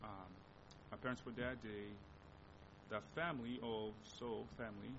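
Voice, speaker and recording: A young man from the Democratic Republic of Congo with a Congolese accent, whose first language is Lingala, giving a missionary presentation to a church and searching for words with many restarts. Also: moderate pace. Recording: dull recording with steady low rumble.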